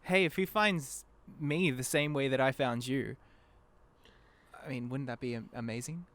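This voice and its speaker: A man's voice: medium pitch, unhurried, fairly bright tone. A man chatting casually and informally as a guest on a podcast. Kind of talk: casual chat.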